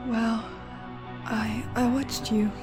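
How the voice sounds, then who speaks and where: A woman's voice: on the high side, level tone, slow. A woman playing a shy, guarded young warrior in a video game, hesitant and reserved. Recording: music behind the voice.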